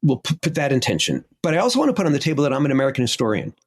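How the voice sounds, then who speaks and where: A man's voice: medium pitch, very animated, racing, slightly rough. A middle-aged man, a historian, answering an interview question in an emphatic, impassioned way. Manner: emphatic, impassioned, lists points. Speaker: historian guest.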